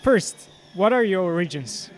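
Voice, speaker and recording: Brisk male interviewer, faint hum. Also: sits high, lively intonation. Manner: brisk, encouraging, short prompts. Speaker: podcast host, interviewer. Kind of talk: interview questions.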